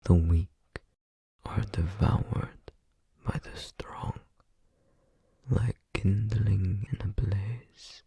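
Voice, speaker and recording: A man's voice: on the low side, extremely slow, long pauses, dark tone, heavily acted. A man playing a cold, domineering demon nobleman scolding as an older brother, with haughty, formal menace in an ASMR audio roleplay. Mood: stern menace. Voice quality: breathy, gravelly half-whisper. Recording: long cavernous reverb.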